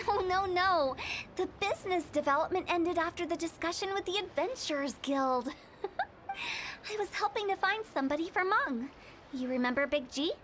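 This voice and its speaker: A woman's voice: very high voice, very animated, normal speed, fairly bright tone, slight background noise, heavily acted. A woman playing a playful, cheeky young funeral director in an anime video game, theatrical and chatty, with music behind. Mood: teasing.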